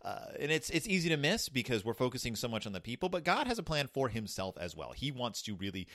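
Man, casual Bible story retelling, irreverent, lively pitch, dry sound. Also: no echo. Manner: animated, irreverent, halting. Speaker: commentator.

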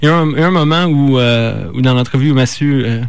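A man with a Canadian French accent hosting a radio show, talking informally and haltingly as he stumbles over words and corrects himself. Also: medium pitch, creaky, smooth. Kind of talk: informal live radio talk.

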